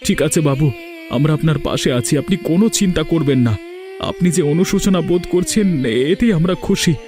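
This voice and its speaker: Cartoon role: meek, forgiving village peasant, played by a man, high-pitched, over background music. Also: a little fast, natural voice. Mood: earnest, reassuring. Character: humble poor village man, deferential and forgiving. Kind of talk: Bengali moral cartoon dubbing.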